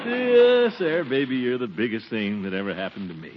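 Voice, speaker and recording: An actor playing a pompous, doting middle-aged uncle with a blustery, chuckling manner in 1940s radio comedy style. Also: male voice, mid-range voice. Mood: doting, tender. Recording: noisy, muffled 1940s radio recording.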